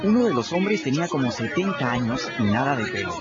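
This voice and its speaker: Lively Peruvian man narrating a tale. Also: mid-range voice, brisk, a loud crowd. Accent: Peruvian Spanish. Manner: storytelling, expressive. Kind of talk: first-person radio drama narration. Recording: dull audio with music underneath.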